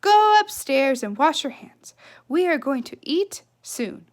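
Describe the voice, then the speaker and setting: Woman performing a storybook mother, irritable, distracted, raised pitch, emphatic, exasperated scolding. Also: sing-song, takes it slowly, full character voice. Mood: impatient, exasperated. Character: irritable, distracted novelist mother of a young girl.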